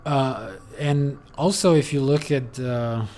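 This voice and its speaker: A man's voice: medium pitch, slow, a little mellow, a little hiss or hum. A man streaming a game, talking casually and rambling, with lots of fillers and the odd swear. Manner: rambling, many fillers, loose and profane. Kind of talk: casual gameplay commentary.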